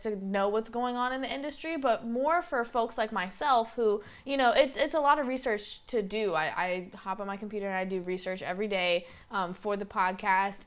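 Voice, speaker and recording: Young woman w/ a bit of southern twang, rambly podcast host, um and you know a lot, smooth clean voice. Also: mid-range voice, fairly bright tone. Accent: slight Southern US twang. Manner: chatty, rambling, many fillers. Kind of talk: casual solo podcast monologue.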